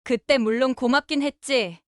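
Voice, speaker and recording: A woman acting as a defensive wife in a family audio drama. Her voice is quite high, she offers evasive excuses, and the sound is very dry. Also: moderate pace, dry recording, lightly acted. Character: defensive daughter-in-law making excuses to her husband. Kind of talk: dramatized family story dialogue.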